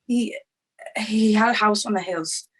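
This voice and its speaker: A woman acting a shaken, grieving young crime witness in a screenplay table read, with halting delivery. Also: medium pitch, lightly acted. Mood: urgent, pleading.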